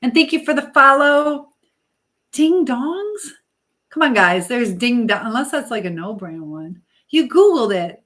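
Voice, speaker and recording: A woman's voice: a little high, very animated, measured pace, a bit bright. Rambling, chatty woman livestreamer. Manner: rambling, chatty, hops between topics and viewers. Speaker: livestream host. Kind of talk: casual live chat, answering viewer comments.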